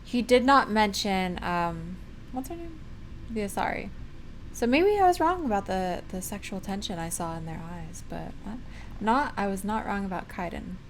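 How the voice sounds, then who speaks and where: A woman's voice: medium pitch, lively intonation, normal speed, a little hiss or hum. A woman streamer casually commenting on a video game playthrough in short, playful reactions.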